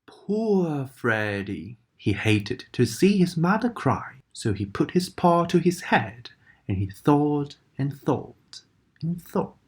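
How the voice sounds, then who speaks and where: A woman reading a bedtime story aloud in a very low voice that swings up and down with feeling. She takes her time and sometimes drops into a whisper for the sad parts. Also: takes it slowly. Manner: expressive, dramatic, dips into whispers.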